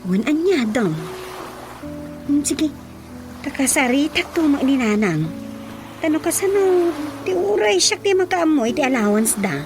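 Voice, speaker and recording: An agreeable Ilocano Filipino wife in a radio comedy drama. Her high voice moves slowly through curious, questioning phrases, over music. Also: big pitch swings, exaggerated voice.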